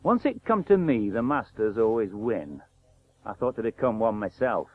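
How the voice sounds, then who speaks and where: Blunt old cricket pro, tinny radio. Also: male voice, on the low side, sing-song, normal speed, no room sound. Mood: resigned, reproachful. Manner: plain-spoken, sardonic, colloquial. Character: blunt, bitter working-class old cricket professional. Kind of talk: radio play acting. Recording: tinny narrow-band 1980s radio broadcast.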